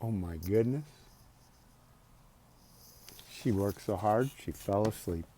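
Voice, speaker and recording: A man reading a children's picture book aloud expressively, drawing out words, pausing and adding casual asides. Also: lowish voice, slight background noise. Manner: expressive, with drawn-out words and pauses. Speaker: storybook reader.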